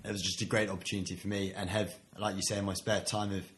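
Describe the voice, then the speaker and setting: A man's voice: lowish voice, level tone, quick pace, fairly bright tone, slight background noise. Modest young man answering easily. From England. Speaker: guest, army officer and runner. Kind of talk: casual interview answers. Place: small studio room above a gym.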